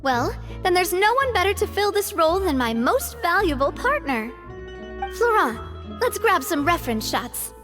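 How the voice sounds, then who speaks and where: A woman's voice: extremely high pitch, moderate pace, music in the background. A woman playing a confident, cheerful and gracious young lady boss in a video game, speaking in an encouraging way. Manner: bright, encouraging, animated.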